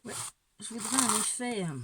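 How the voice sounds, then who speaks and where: A woman's voice: on the high side, moderate pace. A woman with a cold, talking through a casual painting demonstration at home, hesitant and rambling with repeated words and wry asides. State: stuffy-nosed with a cold. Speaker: amateur painter showing her technique. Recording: home recording with clear background noise. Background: dog barking now and then.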